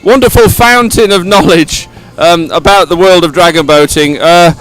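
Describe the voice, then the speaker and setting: Effusive male sports presenter, higher-pitched voice. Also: moderate pace, a bit bright, soft background music, rough recording. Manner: effusive, repeats words. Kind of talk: live outdoor event coverage and interviewing. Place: outdoors at a regatta stadium.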